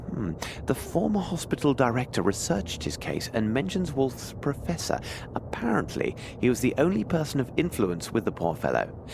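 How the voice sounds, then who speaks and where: An actor playing a cerebral Victorian detective in a video game, with a formal, articulate way of musing aloud. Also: male voice, medium pitch, vocal fry, background noise. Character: Victorian male detective, cerebral, self-assured, inquisitive. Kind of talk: video game dramatic narration to himself.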